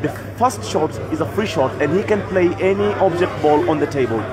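A man's voice: on the high side, a little fast. A man with a Kenyan accent giving chatty live commentary on a pool match for a stream, greeting viewers by name between shots. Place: pool tournament venue in Nairobi. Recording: loud crowd noise all around. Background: loud crowd.

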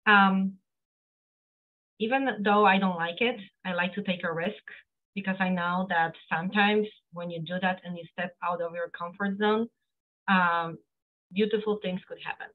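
A woman's voice: on the low side, fairly even intonation, normal speed, phone-quality sound. Polish accent lady on a video call, earnest, lots of ums, thin phone-ish sound. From Poland. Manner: earnest, many fillers and restarts. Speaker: guest, accountant. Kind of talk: casual interview talk. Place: home office, indoors.

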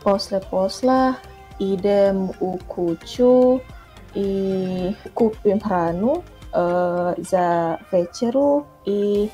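A young woman from Indonesia, a beginner speaking haltingly on a video call with many pauses and fillers. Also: medium pitch, dark tone. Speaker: language learner. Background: music.

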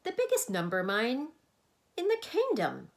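A woman playing a booming, grandiose male number wizard. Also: very animated, unhurried. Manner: bellowing, grandiose, then suddenly confiding.

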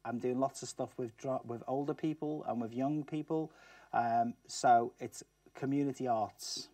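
A man's voice: mid-range voice, steady tone, a little slow, dark tone, no room sound. Chatty Liverpool man, miked from afar. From Liverpool, England. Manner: chatty, asks the audience questions. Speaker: guest speaker. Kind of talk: talk to a student audience. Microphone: far camera mic, no mic seen. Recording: distant, dry studio sound.

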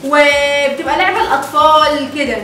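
In a very echoey room, far from the mic, a young woman with an Egyptian accent talks in a high voice. She chatters casually, exclaims often and repeats her phrases. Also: steady tone, fairly quick, heavy background noise. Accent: Egyptian Arabic. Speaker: host of a family toy-unboxing vlog, mother. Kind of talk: casual chat to camera and children. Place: tiled living room at home, indoors. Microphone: far camera.

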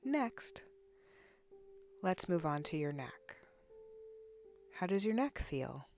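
A woman leading a guided body scan meditation in a soothing, unhurried, instructional way with long pauses. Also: lowish voice, big pitch swings, over music. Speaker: guided relaxation narrator, counselor.

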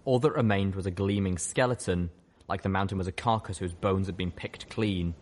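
A man's voice: low voice, a little fast, darkish tone. A man reading a dramatic scripted story as an audiobook narrator. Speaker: audiobook reader.

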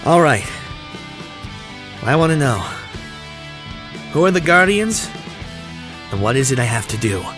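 A man plays an emotional young man in an audio drama, desperate and pleading, with a mid-pitched voice. Music plays underneath him. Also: big pitch swings, unhurried, long pauses. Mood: subdued, resolved. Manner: emotional, pleading, sighing delivery. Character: distraught young man desperate for his missing brother. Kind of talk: amateur audio drama acting.

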